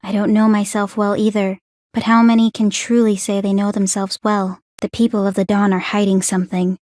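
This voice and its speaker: Woman voicing an aloof young game heroine, bookish, composed, formal diction, detached, mid-pitched, close dry recording. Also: flat delivery, brisk, darkish tone, no echo, natural voice. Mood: cool, curious. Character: aloof, analytical, bookish young fairy-tale warrior maiden. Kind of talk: English video game voice acting.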